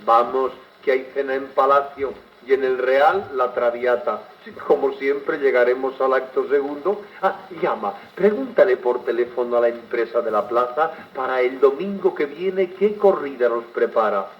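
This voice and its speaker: A man's voice: mid-range voice, normal speed, hardly any pauses, dull audio, slight background noise, close to an everyday voice. A man voicing a spirited, wistful Spanish princess in a dramatic verse recital, with an accent from Spain, on an old gramophone record. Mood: brisk, chatty.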